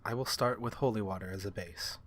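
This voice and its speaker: A man voicing a courteous, learned vampire in an ASMR fantasy roleplay, speaking formally and with measured, archaic phrasing. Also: fairly low pitch, fairly bright tone, a little hiss or hum, natural voice. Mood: composed, scholarly. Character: courteous, learned, human-friendly male vampire curse-breaker.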